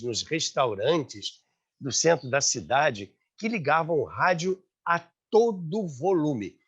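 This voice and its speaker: Husky Brazilian man narrating, very lively intonation. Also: fairly high pitch. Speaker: host and narrator of a radio history series. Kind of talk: storytelling documentary narration.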